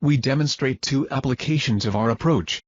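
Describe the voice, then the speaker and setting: Man reading formal narration, husky and muffled. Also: medium pitch, no room sound. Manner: formal, measured, reading from a script. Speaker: research presenter. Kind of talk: scripted narration of a research demo.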